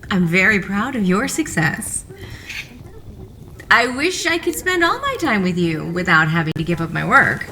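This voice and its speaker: A woman's voice: mid-range voice, very animated, moderate pace, bright, forceful tone, a little hiss or hum, rough recording. An actress playing a proud, teasing middle-aged scientist wife and mother in an audio drama. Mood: earnest, proud.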